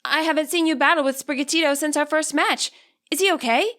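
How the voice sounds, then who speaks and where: A woman's voice: high voice, brisk, a bit bright, voice pushed up. A woman voicing an eager, friendly teenage girl battler in an audiobook, perky, curious and earnest. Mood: eager, then concerned.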